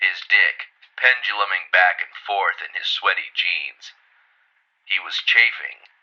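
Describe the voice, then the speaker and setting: A man narrating surreal grotesque fiction in a deadpan, scripted manner. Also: low-pitched, full character voice. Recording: dull, muffled lo-fi audio.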